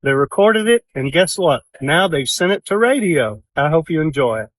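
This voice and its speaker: A man with a somewhat high voice recounts how a song came to be, in a relaxed storytelling manner. He is recorded close and dry. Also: normal speed, no echo. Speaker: songwriter. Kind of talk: interview clip.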